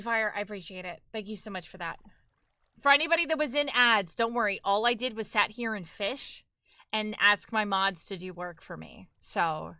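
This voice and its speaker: A woman's voice: mid-range voice, pushed and bright, no echo, clean studio sound. A young woman with a New York accent, chatting casually with her live-stream audience while gaming, talkative and laughing often.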